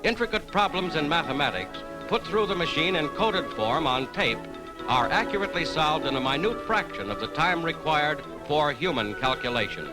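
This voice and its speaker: Male newsreel narrator, 1940s: declamatory, slightly high-pitched, sweeping intonation, period film sound. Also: lively intonation, normal speed, rough recording. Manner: formal, declamatory. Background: loud orchestral music.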